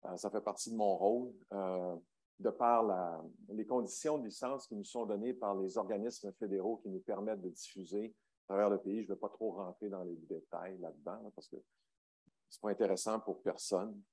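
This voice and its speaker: A man's voice: lowish voice, fairly even intonation, normal speed, darkish tone, poor audio. A man speaking on a panel, hesitant with many fillers. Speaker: broadcaster panelist. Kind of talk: panel talk.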